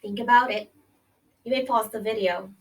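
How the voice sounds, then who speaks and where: A woman's voice: fairly high pitch, fairly even intonation, moderate pace, recorded from a distance, low-quality recording. A woman teacher reading a health lesson aloud to children, addressing them directly and checking in as she explains. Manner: addresses young listeners directly with friendly check-ins like "okay?" and "right?". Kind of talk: read-along children's health lesson, pausing to explain and question.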